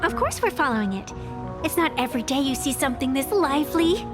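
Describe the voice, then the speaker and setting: An actress playing a cheeky, sharp-tongued young woman in a video game, teasing and sarcastic. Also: high voice, sing-song. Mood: wry. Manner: teasing, sarcastic, quick to bite back. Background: background music.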